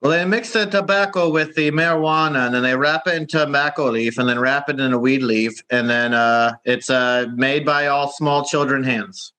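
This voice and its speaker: A man's voice: medium pitch, normal speed, hardly any pauses, bright, forceful tone, clean tone, poor audio. A man doing a hammy put-on Italian accent that keeps slipping, improvising a comedy travel bit over a video call. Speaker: comedy correspondent.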